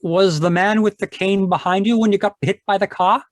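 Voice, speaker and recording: An actor playing a tipsy, loyal sidekick in a 1940s-style radio detective drama. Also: male voice, high voice, fairly even intonation, moderate pace, no echo, lightly acted. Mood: helpful, wry. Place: home room, live video call performance.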